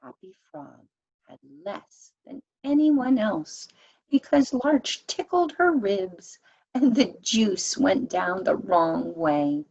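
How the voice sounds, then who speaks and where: A woman reading a children's story aloud as an expressive storyteller. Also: medium pitch, slow, gravelly, breathy edge, muffled recording.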